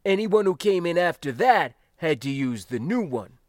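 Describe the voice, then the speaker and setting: A man's voice: fairly high pitch, sing-song, normal speed, dry recording, studio quality, pitched above the performer's own voice. A man voicing a proud old spacer cargo hand in an audiobook story, with folksy, clipped, rambling old-timer talk. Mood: reminiscing, proud. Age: older.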